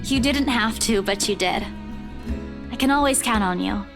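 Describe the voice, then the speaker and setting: An actress playing a spirited, self-conscious young woman in a visual novel, expressive and earnest. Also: a little high, normal speed, lightly acted. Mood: soft, grateful. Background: music.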